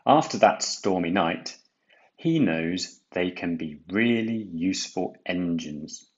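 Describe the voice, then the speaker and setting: A man reading a children's storybook aloud, with deliberate, dramatic emphasis. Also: low voice, animated, dull audio. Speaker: storybook reader. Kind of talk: expressive children's read-aloud narration.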